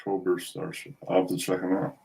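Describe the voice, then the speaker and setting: Low-voiced man, plain and unpolished. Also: flat delivery, fairly quick, creaky, mellow, breathy edge. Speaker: show guest reading his own poem. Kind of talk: plain poem recital and casual remarks.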